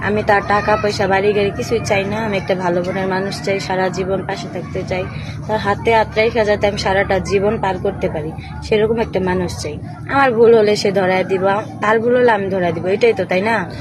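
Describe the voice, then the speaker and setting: A woman's voice: medium pitch, fairly even intonation, a little fast. A young woman with a Bangladeshi accent giving an earnest, plain-spoken personal account as an interview guest. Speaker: interview guest telling her life story. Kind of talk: personal testimony to camera. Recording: outdoor street noise with traffic.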